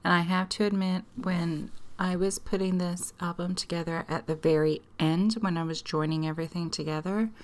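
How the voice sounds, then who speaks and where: A woman hosting a craft tutorial, talking casually and chattily with a hoarse, strained voice. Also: on the low side, fairly even intonation, normal speed, hardly any pauses. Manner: chatty, self-deprecating, laughs often. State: hoarse, losing her voice.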